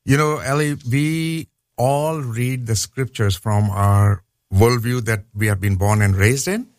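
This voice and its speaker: A man's voice: medium pitch, unhurried, a little mellow, no room sound. A man with a Pakistani accent talks as a guest professor on a radio program. His delivery is earnest and explanatory, and he comes back to his points to stress them. The studio sound is dry and close. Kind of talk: radio interview.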